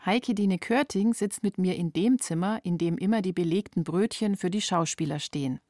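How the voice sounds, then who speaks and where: A woman's voice: lowish voice, normal speed, warm tone, no room sound, clean studio sound. A woman reading scripted documentary narration as a feature reporter.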